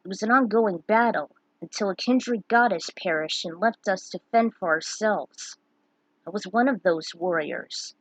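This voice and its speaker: A woman's voice: mid-range voice, measured pace, dry recording, close to an everyday voice, low-quality recording. A woman voicing a brave, earnest young male adventurer in a storybook reading. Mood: earnest, proud.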